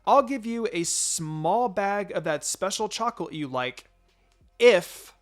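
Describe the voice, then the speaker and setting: A man voicing a young female ninja in a story reading, firm and sardonic but secretly soft-hearted. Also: high voice, expressive, slow pace, soft background music, pitched above the performer's own voice. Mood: exasperated.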